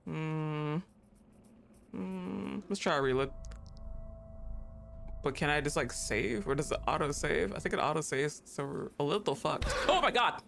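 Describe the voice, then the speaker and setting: A man streams a game live and narrates every moment out loud. His voice sits a little high and leaps around constantly. He swears freely, laughs easily and yells at the game. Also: creaky, faint music behind. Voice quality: excitable, profane, quick to laugh, talks to the game. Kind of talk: live gameplay commentary.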